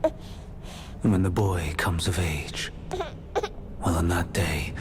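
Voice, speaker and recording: An actor playing a calm, secretive envoy of a divine master, speaking in a measured, persuasive way in a game cutscene. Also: male voice, sits low, background noise. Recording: music behind the voice.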